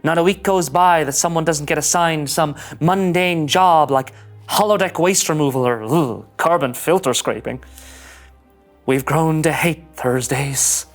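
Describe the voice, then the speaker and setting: A woman voicing an anxious, rule-following young male starship ensign in a scripted animated sci-fi read, fretful and earnest. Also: deep voice, a little slow. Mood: troubled, confessional. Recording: music under the voice.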